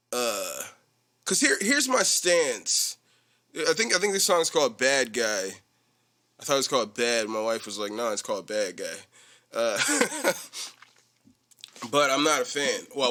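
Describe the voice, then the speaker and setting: Man, young adult, podcast host, bright and forceful voice, rambling and profane, animated storytelling. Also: medium pitch. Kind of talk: casual solo podcast chat.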